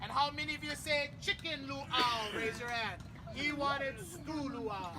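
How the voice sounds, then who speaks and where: A woman's voice: sits high, unhurried. A woman leading a family memorial outdoors at a beach, quizzing the crowd playfully and then praying. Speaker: memorial service leader. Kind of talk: family memorial address with audience games. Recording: outdoor ambience with clear traffic noise.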